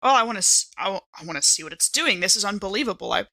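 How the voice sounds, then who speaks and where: Bright, excitable woman playing a game. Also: medium pitch, racing, vocal fry, no room sound. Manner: excitable, eager, repeating phrases. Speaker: tabletop game player. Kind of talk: improvised in-character game play.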